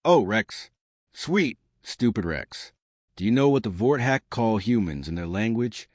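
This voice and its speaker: A man's voice: fairly low pitch, sing-song, unhurried, a little mellow, dry recording, clean studio sound. A man voicing a small, fierce woman starship captain in a sci-fi story, confident and sarcastic.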